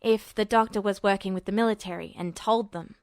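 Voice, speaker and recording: A woman's voice: medium pitch, steady tone, brisk, no room sound, studio quality, pitched below the performer's own voice, natural voice. A woman voicing a laid-back, blunt teenage boy who muses aloud in a story reading. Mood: grave. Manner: casual, musing.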